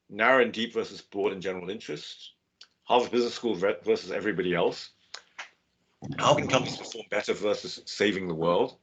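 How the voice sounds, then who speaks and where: A man with a low, husky voice lectures in a measured, steady way. The audio is a muffled, lo-fi video call feed. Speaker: guest lecturer. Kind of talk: webinar lecture.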